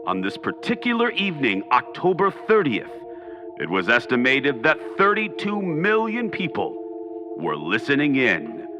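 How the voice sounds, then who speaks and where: A man narrating a radio drama as host, in a grand, deliberate, theatrical delivery. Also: medium pitch, wildly swooping pitch. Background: music behind the voice.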